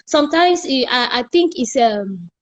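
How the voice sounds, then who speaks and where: A woman's voice: high-pitched, lively intonation, normal speed. A Cameroonian woman from the northwest of Cameroon, a guest speaking earnestly over a remote call in casual conversation.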